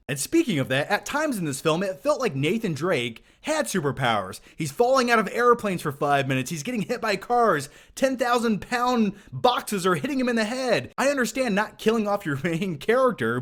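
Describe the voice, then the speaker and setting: A man in his thirties hosting a casual scripted movie review in a playful, sarcastic, mock-serious manner. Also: on the high side. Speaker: film review video host.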